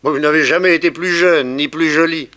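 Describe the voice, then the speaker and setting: An actor in a 1960s French radio drama playing a gallant, teasing friend with animated, theatrical banter. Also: male voice, a little high, normal speed. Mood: gallant, flattering. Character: teasing, gallant male friend, lively and flattering.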